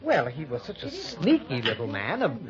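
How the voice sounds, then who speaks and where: A woman's voice: low voice, wildly swooping pitch, moderate pace, crowd noise. An actress playing a fussy, gossipy woman staying at a hotel, chatty and stammering, in a 1950s radio mystery. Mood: gossipy, excited. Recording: muffled old radio, loud background noise.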